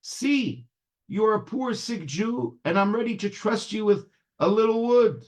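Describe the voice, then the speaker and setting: A man's voice: sits high, animated, measured pace, voice pushed up. A man voicing a kindly rabbi who poses as a peasant woodseller in a folk tale, emphatic and reassuring. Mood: gently scolding.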